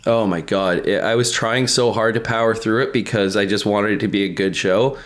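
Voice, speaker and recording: A man's voice: fairly low pitch, fairly quick. A man co-hosting a podcast in informal, sweary, casual chat. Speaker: podcast co-host.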